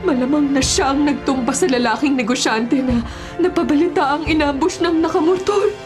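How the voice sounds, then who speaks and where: A distraught, lamenting Filipino mother in a radio drama, played by a woman. Her high voice pleads haltingly and tearfully. Loud music sits under the speech. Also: close to an everyday voice. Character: distraught, pleading wife and mother of grown child.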